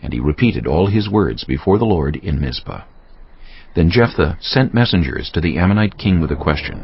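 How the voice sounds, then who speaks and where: A man with a gravelly voice giving a dramatic scripted narration of scripture. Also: low voice, sing-song, normal speed. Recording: dull band-limited audio, faint music underneath. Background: faint music.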